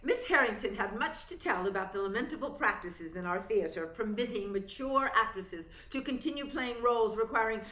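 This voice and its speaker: A sardonic, theatrical grande dame of the stage, acted by a woman in a radio drama. Her voice is fairly high, bright and cutting, with a roomy echo around it. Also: animated, fairly quick, few pauses. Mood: scornful, indignant. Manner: sardonic, biting. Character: Margot, mature stage star, sardonic and theatrical. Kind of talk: radio play acting.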